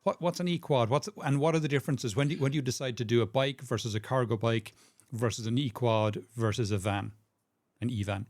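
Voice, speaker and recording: A man's voice: medium pitch, brisk, darkish tone, dry recording, clean studio sound. A man hosting a podcast, asking his guest conversational follow-up questions. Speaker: podcast host. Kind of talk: podcast interviewing.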